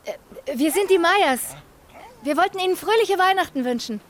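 An actress playing an eager, persuasive wife in a radio drama, speaking in a conversational, coaxing manner. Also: female voice, very high voice, animated, moderate pace, a little hiss or hum. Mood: polite.

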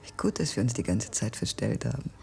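A woman acting an embittered, secretly clever enslaved woman in a radio drama, in a husky, breathy, conspiratorial half-whisper. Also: rumbling low, lively intonation, a little fast, mellow, full character voice. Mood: bitter, scornful.

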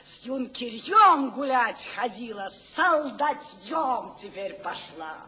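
A woman's voice: fairly high pitch, sing-song, takes it slowly, bright, forceful tone, full character voice. An actress in a radio play as a woman theatrically reciting rowdy, taunting verse.

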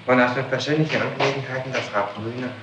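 A man formally questioning someone as an interrogator, probing and deliberate, on an old 1960s tape. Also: mid-range voice, steady tone, echoey room, recorded from a distance, noisy background.

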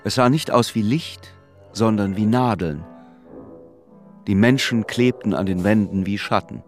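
A man's voice: fairly low pitch, a little slow, soft background music, natural voice. A man narrating a bleak literary radio drama in a somber manner.

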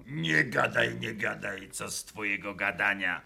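A gruff servant in a theatrical radio drama. His low, extremely gravelly voice snaps curt country scoldings, with other voices behind him. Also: expressive, measured pace, crowd noise, exaggerated voice. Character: gruff, curt lackey bootblack ordering others to polish.

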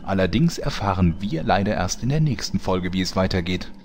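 Male narrator, very deep voice, wry announcer tone, faint background noise. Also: expressive, fairly quick, darkish tone. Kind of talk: scripted comedy narration.

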